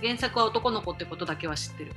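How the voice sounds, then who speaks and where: A woman's voice: mid-range voice, animated, quick pace, a bit bright, soft background music. A woman co-hosting a podcast in casual, conversational chat. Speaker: podcast co-host; writer.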